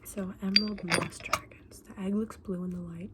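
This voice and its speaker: A woman playing a friendly, knowledgeable fantasy egg saleswoman in an ASMR roleplay, speaking softly and often in a breathy whisper, gently explaining things to a customer. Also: medium pitch, fairly even intonation, moderate pace, very noisy, close to an everyday voice. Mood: informative, gentle.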